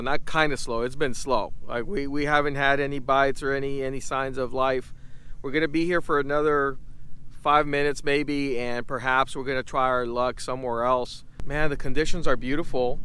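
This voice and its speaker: A man hosting a casual fishing vlog from a boat outdoors, easygoing and chatty, breaking into excited shouts. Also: mid-range voice, slight background noise. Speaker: fishing vlogger and host. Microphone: handheld or head-mounted camera.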